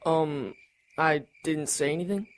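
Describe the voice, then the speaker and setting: A woman's voice: low-pitched, a little slow. A woman playing a nervous, pleading younger brother, stammering and defensive, in an audio drama.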